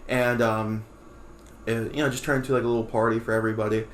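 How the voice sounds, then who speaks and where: A man's voice: medium pitch, flat delivery, fast, reverberant, slight background noise. A man co-hosting a podcast in casual chat, rambling with many restarts. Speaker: podcast co-host.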